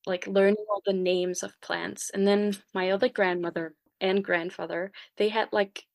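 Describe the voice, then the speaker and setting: A young woman with a Danish accent, talking casually as a guest in an interview. She often pauses on fillers and restarts her sentences as she thinks aloud. Her voice sits in a middle pitch. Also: little pitch movement, creaky, a little mellow. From Denmark. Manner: hesitant, many fillers and restarts. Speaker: guest artist. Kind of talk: casual conversation.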